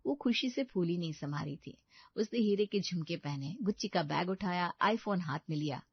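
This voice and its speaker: A woman's voice: on the low side, lively intonation, moderate pace, gravelly, breathy edge, dry recording, muffled recording. Woman storyteller, dry close sound. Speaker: storyteller, narrator. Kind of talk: scripted story narration.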